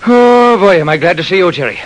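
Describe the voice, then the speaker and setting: Here is a man acting as an honest, excitable working fellow. The voice sits high and jumps around in animated swings. It is played in classic radio drama style on a vintage recording. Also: a little fast, rough recording. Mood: eager, anxious. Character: excitable honest Irish-American garbage collector turned millionaire.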